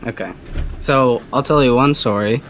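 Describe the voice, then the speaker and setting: Soft-voiced male caller, hesitant and rambling, mid pitch, light hiss. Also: moderate pace. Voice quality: soft, feminine-sounding voice. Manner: hesitant, rambling, lots of fillers. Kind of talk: casual phone call.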